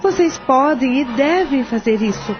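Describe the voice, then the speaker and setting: Woman playing a calm, soothing aunt. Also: sits high, a little slow. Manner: soothing, gentle, firm. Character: calm, caring adult aunt and sister. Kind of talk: radio drama acting. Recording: muffled lo-fi audio with music.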